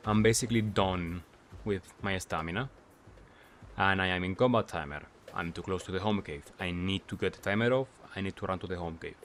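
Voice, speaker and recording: Male gaming commentator, fairly low pitch, explains tactics in brief phrases with question-and-answer delivery, music underneath. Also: brisk, a bit bright. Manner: asks himself questions and answers them. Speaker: gaming video creator. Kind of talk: gameplay commentary.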